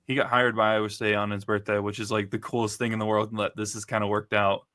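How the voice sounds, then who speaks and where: A man's voice: on the low side, flat delivery, quick pace, a bit bright, no echo, studio quality. A man in his twenties co-hosting a sports podcast, chatty and steering the talk. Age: 20s. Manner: chatty, leads the segments. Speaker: co-host. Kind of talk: casual sports chat.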